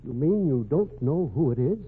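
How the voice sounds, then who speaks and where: A blustering middle-aged company president in a vintage radio mystery. His fairly high, husky voice leaps about with gruff impatience. The recording is muffled and lo-fi. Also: lively intonation, moderate pace. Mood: alarmed. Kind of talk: old-time radio mystery acting.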